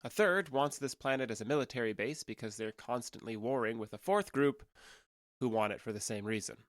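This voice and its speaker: A man's voice: mid-range voice, fairly quick, dry recording, studio quality, close to an everyday voice. A man playing a young alien trader who passes as human in an audio drama, talking in a conversational, earnest and slightly hesitant way. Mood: wry, frustrated. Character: awkward, candid young male alien trader passing as human.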